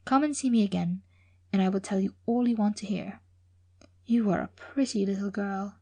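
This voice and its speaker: A woman voicing a frail, very old gentleman with a soft, trained voice and a slight foreign accent, speaking slowly and wearily. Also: mid-range voice, natural voice. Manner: soft, slow, weary.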